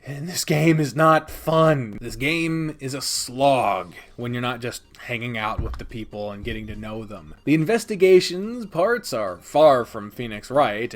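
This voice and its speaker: A man giving a casual, opinionated countdown review as a video host, partly scripted, with a blunt, sweary manner. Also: mid-range voice. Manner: sweary, blunt, chuckling asides.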